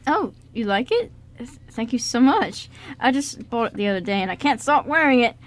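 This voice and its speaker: A woman's voice: fairly high pitch, lively intonation, normal speed, lightly acted. A woman playing a polite, friendly, slightly shy young man in an amateur radio play, chatty but hesitant. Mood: pleased, chatty.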